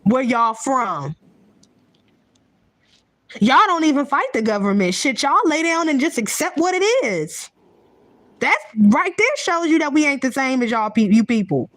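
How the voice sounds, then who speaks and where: A woman's voice: sits high, big pitch swings, a little slow, long pauses, fairly bright tone, dry recording. A woman giving a heated, profane, unscripted rant to her viewers, blunt and repetitive, often restarting her sentences. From United States. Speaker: vlogger.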